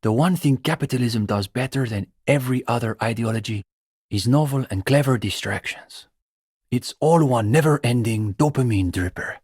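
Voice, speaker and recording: An actor playing an earnest, pretentious young communist student intellectual with a bookish, opinionated way of talking, in a video game. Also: male voice, mid-range voice, normal speed, darkish tone, husky, no echo, clean studio sound, lightly acted. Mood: scornful. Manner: bookish, opinionated, academic phrasing. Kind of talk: video game character dialogue.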